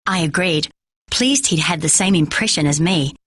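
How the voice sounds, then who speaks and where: A woman with a husky voice reading a children's novel aloud in steady first-person narration. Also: lowish voice, moderate pace, dry recording. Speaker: storyteller.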